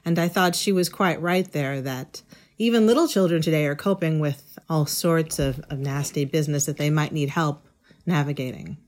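A woman's voice: deep voice, moderate pace, darkish tone. A middle-aged woman speaking as an interview guest, explaining things in an articulate, thoughtful, conversational way. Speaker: interview guest, education writer.

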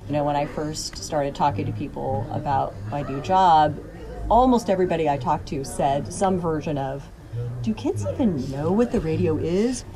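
A woman with a fairly low voice talks casually, like a teacher in an interview. She is animated in telling her anecdotes. Other people's chatter is clearly heard behind her. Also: moderate pace, low-quality recording. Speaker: high school radio teacher.

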